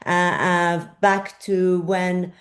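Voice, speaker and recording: An older woman from Iran, a journalist answering interview questions in a thoughtful way, often pausing with fillers as she looks for words. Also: lowish voice, flat delivery. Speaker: journalist guest.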